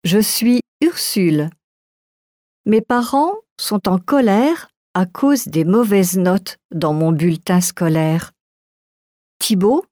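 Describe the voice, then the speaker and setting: A woman giving a careful, clearly articulated scripted reading for a language course. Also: mid-range voice, lively intonation, slow, warm tone, dry recording, studio quality. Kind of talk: slow scripted reading for learners.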